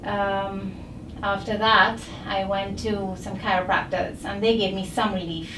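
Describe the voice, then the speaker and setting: A woman from Barbados with a Barbadian accent, speaking as a patient recounting her medical history in halting short phrases. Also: mid-range voice, level tone. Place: indoor chiropractic clinic. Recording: echoey noisy room, distant mic.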